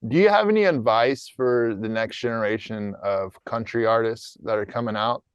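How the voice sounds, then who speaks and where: A man hosts an interview and asks questions in an easy, casual way. His voice is smooth and clear at a mid pitch. The sound is dry and a little dull. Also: normal speed, no room sound. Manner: casual and conversational. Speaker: interview host.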